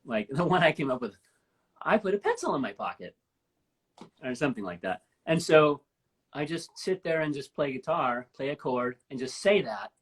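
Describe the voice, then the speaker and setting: A woman in her fifties with a deep voice, hosting a casual live-stream chat and telling stories with enthusiasm. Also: lively intonation, moderate pace, breathy edge. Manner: enthusiastic, rambling storyteller. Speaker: host. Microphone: live stream from home.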